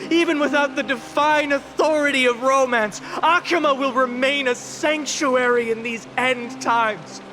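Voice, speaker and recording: Solemn young hero, slow and high-pitched. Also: male voice, over music. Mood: rousing. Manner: measured, earnest, oratorical. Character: solemn young male hero rallying a grieving city. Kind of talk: dramatic speech, video game voice acting.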